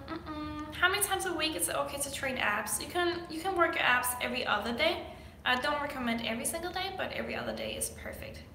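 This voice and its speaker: A woman's voice: high-pitched, bright, forceful tone, slight background noise. A young woman from Denmark with a Danish accent, answering viewer questions as a fitness coach in a casual, chatty way with lots of fillers. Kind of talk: casual Q&A vlog. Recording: echoey room.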